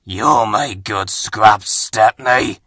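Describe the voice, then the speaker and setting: Man acting a slow, menacing diesel engine. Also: lowish voice, pushed and bright, no echo, studio quality, pitched below the performer's own voice, full character voice. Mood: menacing, gloating. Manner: slow, taunting. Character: menacing, gloating male diesel engines in a storybook.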